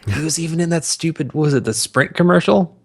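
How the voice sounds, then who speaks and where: A man co-hosting a podcast, chatting casually and profanely about movies in an opinionated, mocking, animated way. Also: a little high, fast talker, gravelly. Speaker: podcast co-host.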